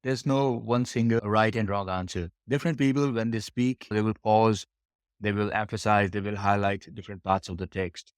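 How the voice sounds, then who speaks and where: A man's voice: fairly low pitch, steady tone, moderate pace, clear, resonant tone, dry recording. A man teaching a test preparation lesson, explaining step by step and repeating phrases for emphasis. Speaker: English test preparation instructor.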